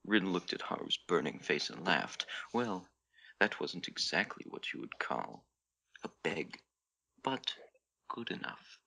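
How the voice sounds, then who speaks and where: A low-voiced man reads fanfiction as a comic dramatic narration for a hobby podcast. His tone is bright and forceful with plenty of fry, and he trips over words now and then. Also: sing-song, measured pace. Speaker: podcast member reading fan fiction.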